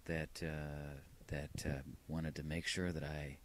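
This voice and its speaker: Male vlogger, deep voice, rambling and reflective, wry delivery, slight background noise. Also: level tone, slow pace. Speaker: vlogger playing old answering machine tapes. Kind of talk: casual rambling personal narration.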